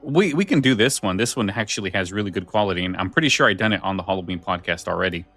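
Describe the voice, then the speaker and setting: Low-voiced man chatting on a podcast. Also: expressive, quick pace, a bit bright, no echo, soft background music. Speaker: podcast co-host. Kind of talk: casual podcast chat.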